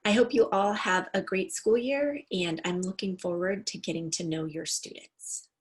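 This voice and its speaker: Orderly woman teacher, medium-pitched voice. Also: moderate pace. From California. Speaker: English language development teacher. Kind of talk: prepared introduction to families.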